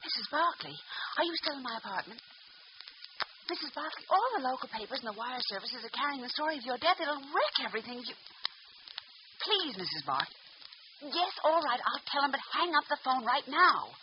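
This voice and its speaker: Capable secretary, brisk, lively pitch, muffled vintage radio, hiss. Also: female voice. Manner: brisk, animated on the phone. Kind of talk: 1940s radio acting. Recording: dull old radio recording with clear hiss.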